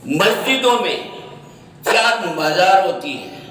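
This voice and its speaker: A man giving an unscripted opinion monologue in halting, short phrases, often repeating himself. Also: high voice, lively intonation, a bit bright. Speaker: social media speaker giving his opinion. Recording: echoey, distant mic, faint noise.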